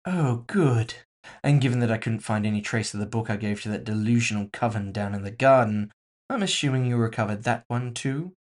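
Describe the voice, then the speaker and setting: A man's voice: on the low side, a little fast, close to an everyday voice. A man voicing a composed, villainous woman executive in audio fiction, casually polite, mocking and condescending. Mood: amused, condescending. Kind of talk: audio fiction character dialogue.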